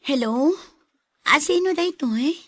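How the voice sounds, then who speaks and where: A woman playing a devoted young bride-to-be and tender daughter in an audio drama, with emotive, drawn-out delivery. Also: high voice, expressive, a bit bright, no echo, studio quality. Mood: panicked, anguished.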